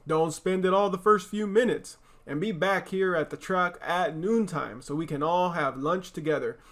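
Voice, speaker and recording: A man's voice: high voice, normal speed, fairly bright tone. A man voicing a practical, easygoing farm father in a storybook, with plain fatherly delivery. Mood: firm, fatherly.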